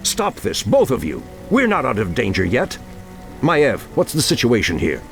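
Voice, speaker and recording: An actor playing a noble, commanding night elf archdruid in a fantasy game, speaking with solemn, measured authority. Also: male voice, medium pitch, wildly swooping pitch, gravelly, soft background music. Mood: angry, commanding. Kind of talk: fantasy game cutscene acting.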